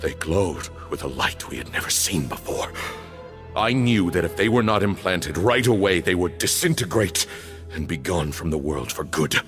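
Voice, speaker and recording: A man playing a stern, bitter temple leader in a video game, speaking in a formal, grave, measured way. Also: low voice, lively intonation. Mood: solemn, measured. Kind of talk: dramatic video game voice acting. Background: background music.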